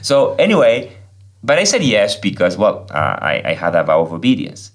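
A man's voice: lowish voice, huge pitch swings, normal speed. A man from Argentina with an Argentine Spanish accent, a priest and native Spanish speaker, explaining faith earnestly in a podcast conversation.

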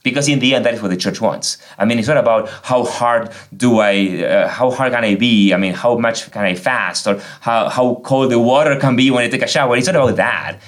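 A man's voice: medium pitch, a little fast, few pauses. A man from Argentina with an Argentine Spanish accent, a priest and native Spanish speaker, explaining faith earnestly in a podcast conversation.

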